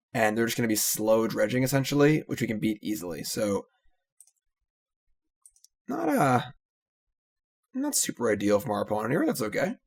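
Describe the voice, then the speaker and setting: A man casually commentating his own card game, analytical and relaxed, often trailing off with "so". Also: mid-range voice.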